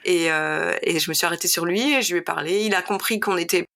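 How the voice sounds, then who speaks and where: A woman's voice: fairly low pitch, fast, vocal fry, a bit bright. Chatty woman guest in her forties. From Lille, northern France. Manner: chatty, drops in English phrases. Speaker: podcast guest, paediatric nurse. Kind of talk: casual personal storytelling in interview.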